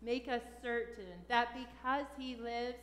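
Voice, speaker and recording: A middle-aged woman speaking as a pastor, leading liturgical prayer in a measured, solemn way in a church. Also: fairly high pitch, fairly bright tone. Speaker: pastor leading a funeral service. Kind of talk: liturgical prayer and creed recitation. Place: large church sanctuary, at the altar. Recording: echoey church hall with long reverb.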